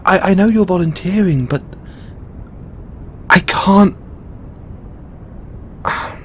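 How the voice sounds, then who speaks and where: A man playing a flustered, tender god boyfriend in an intimate audio roleplay, stammering and repeating his words. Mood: flustered, protesting. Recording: noticeable low rumbling background noise.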